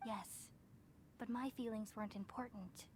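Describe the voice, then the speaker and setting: A woman voicing a gentle, wise, selfless goddess in a video game, speaking in a solemn and measured way. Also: mid-range voice, steady tone, slight background noise, close to an everyday voice. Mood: calm, resigned. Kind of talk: scripted video game voice acting. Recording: game cutscene with music behind.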